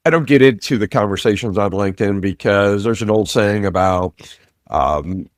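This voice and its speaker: A man hosting a casual interview, speaking in a measured way with dry, wry humor. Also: on the low side, no room sound. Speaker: host.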